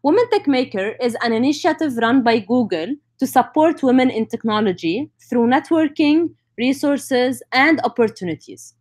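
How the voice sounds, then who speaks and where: A woman with a Lebanese accent leads an online tech session. Her voice sits on the high side. She presents informally, speaking directly to the people watching the stream. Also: lively intonation, moderate pace, few pauses, darkish tone. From North Lebanon.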